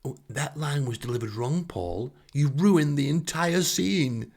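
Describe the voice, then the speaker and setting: A man's voice: a little high, unhurried, a little mellow, voice pushed up. A man voicing an intense, easily offended young female drama student, scolding melodramatically in a comic monologue.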